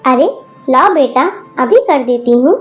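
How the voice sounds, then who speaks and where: A woman voicing a patient mother who calmly placates her family. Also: sits high, unhurried, dull audio, faint music behind. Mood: soothing. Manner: calm, appeasing, gentle. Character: patient, soft-spoken mother of a grown daughter.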